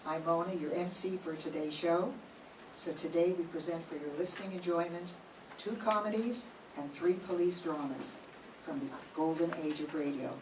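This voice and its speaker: An older woman emceeing, reading a measured scripted introduction. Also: on the low side, fairly even intonation. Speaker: show emcee. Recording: distant echoey room with hiss.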